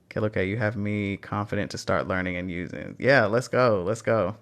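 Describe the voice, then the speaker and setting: Man, Texan accent, slightly low voice, casual chat on a phone live stream, upbeat coaching tone. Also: fairly even intonation, brisk. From Texas. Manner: encouraging, reads out and answers chat questions. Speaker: language teacher hosting a live Q&A. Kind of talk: casual livestream Q&A.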